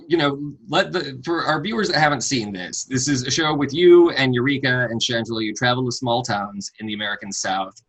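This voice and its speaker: Man, interviewer, friendly and earnest, bright voice, video call. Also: medium pitch, poor audio. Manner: friendly, earnest, many fillers. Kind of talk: remote interview.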